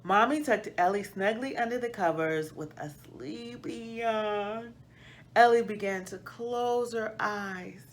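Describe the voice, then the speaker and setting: A middle-aged woman hosting a children's read-aloud, reading storybook style with deliberate, expressive phrasing. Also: mid-range voice. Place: small plain indoor room.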